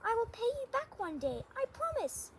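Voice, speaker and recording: A woman voicing a tiny male storybook mouse, squeaky, pleading and earnest. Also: big pitch swings, moderate pace, a little hiss or hum, exaggerated voice. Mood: frightened pleading.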